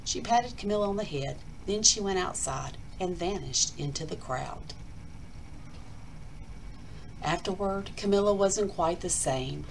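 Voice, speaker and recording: A woman hosting storytime, reading aloud to children in an expressive, emphatic picture-book style. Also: on the low side, gravelly, slight background noise, rough recording. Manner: emphatic, addressing children directly. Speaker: storytime host, school reader.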